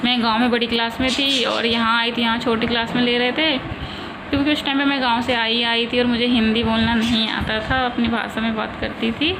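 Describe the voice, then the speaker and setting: A woman's voice: fairly high pitch, flat delivery, fairly quick. A woman in her thirties, from a village in India, chatting casually and rambling on in a home vlog. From rural India. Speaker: vlogger. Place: home in the city. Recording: loud background noise, traffic.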